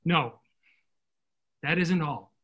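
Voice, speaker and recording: Guy doing a romantic soldier husband in a comedy play, slow and husky, very earnest. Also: a little high, a bit bright, full character voice. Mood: tender, intense. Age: middle-aged. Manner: earnest, theatrical, ardent. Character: devoted, romantic army captain home from war. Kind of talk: stage comedy acting. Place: indoor room, recorded over video call.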